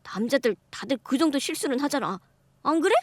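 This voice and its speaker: A woman's voice: sits high, expressive, brisk, no room sound, voice pushed up. A woman voicing an evasive young husband who pleads and makes excuses. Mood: pleading.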